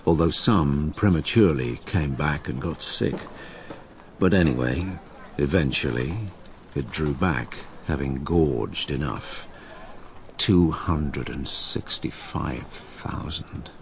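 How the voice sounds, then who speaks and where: An actor playing a troubled, needy writer haunted by plague, morose and reflective, in a radio drama. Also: male voice, very deep voice, slow, gravelly, a little hiss or hum, heavily acted. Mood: bleak, reflective. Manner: morose, self-pitying, reflective. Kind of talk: radio drama dialogue and narration.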